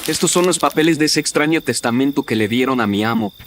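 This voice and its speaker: A man's voice: a little high, expressive, quick pace, voice pushed up. Man playing a worried servant, crickets behind. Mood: respectful, uneasy. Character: respectful, worried manservant of a missing notary. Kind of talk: horror radio drama acting. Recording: noisy bed of crickets and clicks.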